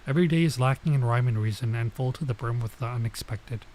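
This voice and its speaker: Guy doing a lets play, reading story text out loud w casual asides, mid voice, noisy background. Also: medium pitch, fast. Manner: stops to comment and translate Japanese phrases. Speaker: streamer reading a visual novel aloud. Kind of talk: let's play read-aloud with casual asides.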